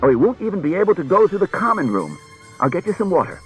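A man plays a harried detective in a dramatic story reading. The voice is raised and rough, moving up and down a lot. He sounds strained, urgent and protective. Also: sits high, very animated, fast talker, soft background music, pitched above the performer's own voice, full character voice. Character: harried, anguished male detective, tense and protective.

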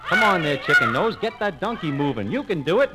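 Man playing a bossy, confident big boy, lively. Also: on the high side, fairly quick, over loud music, pitched above the performer's own voice, poor audio. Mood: urging. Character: biggest boy, bossy, confident leader of the group.

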